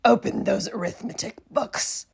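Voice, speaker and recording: A woman's voice: medium pitch, a little slow, gravelly, darkish tone, slightly rough, heavily acted. A woman voicing a mean, witchy female substitute teacher who hisses and snaps out strict commands for a storybook. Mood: stern, snapping. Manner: hissing, snapping, strict commands.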